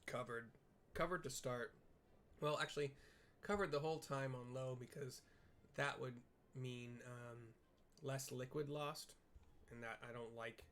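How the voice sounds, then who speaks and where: A man's voice: mid-range voice, steady tone, a little slow, a bit bright. Casual male game streamer with a middling voice, thinking aloud, slight noise behind him. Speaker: gaming video host. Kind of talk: casual chat while playing and reading game text aloud.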